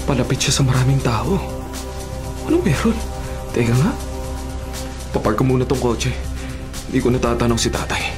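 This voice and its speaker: A man's voice: mid-range voice, expressive, moderate pace, pauses often, music in the background, natural voice. A man acting a serious, firm young bodyguard in a Filipino radio drama. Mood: puzzled. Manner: earnest, firm. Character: serious, protective, dutiful young male bodyguard.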